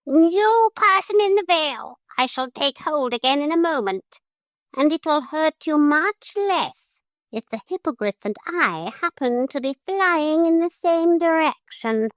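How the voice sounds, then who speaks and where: Storybook parrot, male, prim and pompous, high chirpy voice, read by a woman, dry close sound. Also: lively intonation, unhurried, dry recording. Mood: prim scolding. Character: fussy polite male parrot, bossy, scolding.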